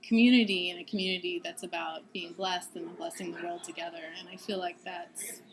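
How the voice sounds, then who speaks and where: A woman in her thirties, a seminary student, answering interview questions thoughtfully, laughing often and pausing with ums. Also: lowish voice, steady tone, noisy background. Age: 30s.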